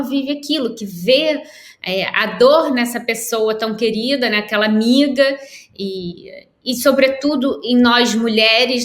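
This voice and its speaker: Brazilian woman, writer, fairly high voice, reflective and measured, roomy video-call sound. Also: a bit bright. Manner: thoughtful, measured, with many pauses and restarts. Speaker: author, guest. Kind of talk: reflective interview answers. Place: at home in Lisbon, by a window. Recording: echoey room on a video call.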